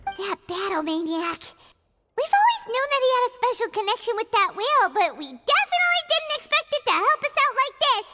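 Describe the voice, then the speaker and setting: A tiny, chirpy fairy girl with an extremely high, squeaky voice that leaps about. She is excitable and blunt, speaking like a video game sidekick over loud music in a reverberant space. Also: a little fast. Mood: indignant, eager. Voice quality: squeaky, childlike. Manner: exclamatory, emphatic. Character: small excitable childlike female fairy companion, blunt and chirpy.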